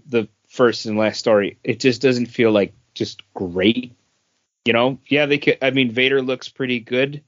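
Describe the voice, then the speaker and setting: A man co-hosts a casual review podcast, talking at an unhurried, slow pace. His mid-pitched voice sits in a dry space. The recording is a bit dull and lo-fi. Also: no room sound. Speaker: podcast co-host reviewing comics. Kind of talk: casual chat.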